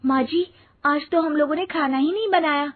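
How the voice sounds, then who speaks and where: Woman playing high-pitched eager young daughter-in-law, phone-like sound. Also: normal speed, close to an everyday voice. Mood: flustered. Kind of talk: moral story cartoon acting. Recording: muffled phone-like narrow band.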